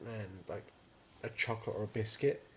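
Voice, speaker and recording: A man's voice: on the low side, normal speed, sharp tone. A man in his twenties co-hosting a podcast, chatting casually, rambling and swearing offhandedly. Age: 20s. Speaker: podcast co-host. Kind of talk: casual chat.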